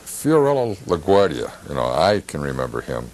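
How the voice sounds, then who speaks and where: Very deep, gravelly American male host. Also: very animated, normal speed, very noisy. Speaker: show host. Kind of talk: casual interview hosting.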